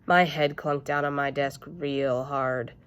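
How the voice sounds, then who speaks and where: A woman reading a children's book aloud in an expressive, emphatic storytelling style. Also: deep voice, slow, clear, resonant tone. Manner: animated, emphatic.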